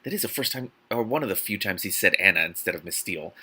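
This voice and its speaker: Animated, bright-voiced man reading with mocking commentary. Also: deep voice, quick pace, breathy edge. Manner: animated, theatrical, incredulous, swearing, sarcastic asides. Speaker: host reading a novel aloud with commentary. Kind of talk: dramatic book reading broken by mocking, exasperated commentary.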